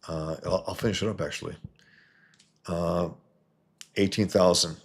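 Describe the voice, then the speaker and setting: A man from New York hosting a casual livestream, reading viewer comments and answering in a halting way that restarts and repeats words. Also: sits low, steady tone, breathy edge. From New York, USA. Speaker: livestream host and comic artist.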